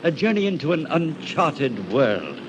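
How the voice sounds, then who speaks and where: An actor playing a genteel, eccentric elderly gentleman in an old-time radio drama, speaking in an urbane, theatrical way. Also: on the high side, big pitch swings, a little slow, noisy background. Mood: urbane, persuasive. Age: older.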